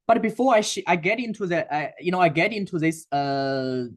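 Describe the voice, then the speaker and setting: A man with a Chinese accent presenting a sales webinar, talking in a halting way with many fillers and restarts. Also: a little high. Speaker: webinar host and sales coach.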